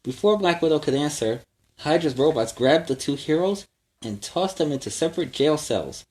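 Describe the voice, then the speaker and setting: A man's voice: fairly high pitch, animated, normal speed, darkish tone. A man hosting a children's story time, narrating a storybook with chatty asides. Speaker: children's story time host in superhero character.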